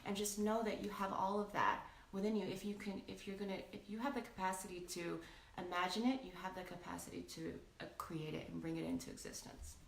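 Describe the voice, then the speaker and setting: A woman's voice: mid-range voice, fairly even intonation, a little fast, distant mic. A woman speaking as a life coach and guest, telling personal motivational stories in an earnest, emphatic, casual way. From born in Thailand to a Cambodian family, raised in the United States. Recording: echoing room, clear background noise.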